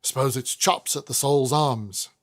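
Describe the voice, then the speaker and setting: A man doing a gruff, accented lodger. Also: medium pitch, unhurried, lightly acted. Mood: uneasy, gruff. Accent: put-on accent.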